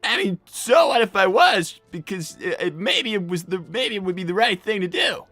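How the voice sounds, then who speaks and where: A performer voicing a flustered young fox boy who stammers and protests loudly when accused. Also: mid-range voice, expressive, normal speed, no room sound, faint music behind.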